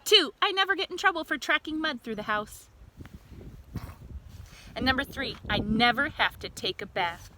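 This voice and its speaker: A woman's voice: high-pitched, animated, normal speed, long pauses, bright, forceful tone. A woman reading a children's picture book aloud outdoors like a teacher, adding chatty asides and questions for young listeners. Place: outdoors in a communal space by a river. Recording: noisy outdoor recording.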